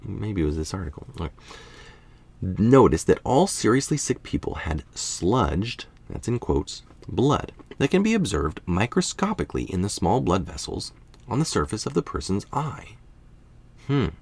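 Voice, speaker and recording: A man's voice: lowish voice, very animated, normal speed, a little mellow, breathy edge. A man reading a science article aloud, informally and musingly, with casual asides and the odd stumble over technical words. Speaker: article reader and commentator.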